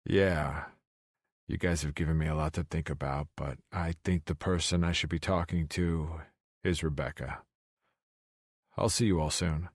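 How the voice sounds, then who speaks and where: A man's voice: a bass voice, steady tone, unhurried, no room sound, clean studio sound. A man voicing an earnest, devoted male lover in a romance audiobook. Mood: resolved.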